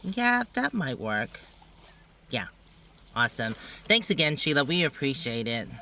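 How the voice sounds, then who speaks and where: A man's voice: medium pitch, big pitch swings, unhurried, sharp tone. A man chatting casually for a travel vlog in an informal, playful way. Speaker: travel vlogger.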